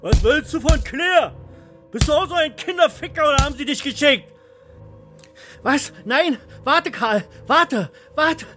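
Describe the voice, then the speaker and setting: A man's voice: extremely high pitch, animated, unhurried, sharp tone, faint music behind, voice pushed up, full character voice. A man playing a timid, boring accountant in an audio drama, stammering, pleading and crying out in helpless panic. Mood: pleading, terrified.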